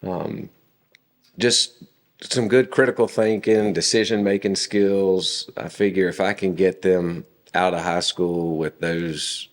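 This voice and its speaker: Very deep-voiced man telling stories. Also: slow pace. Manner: relaxed storytelling with frequent fillers. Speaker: podcast guest. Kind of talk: casual conversation.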